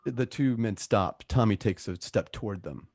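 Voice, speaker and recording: A low-pitched man reads dramatic narration for a radio play. The sound is dry and close, with hardly any room in it. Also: moderate pace, a little mellow, no echo.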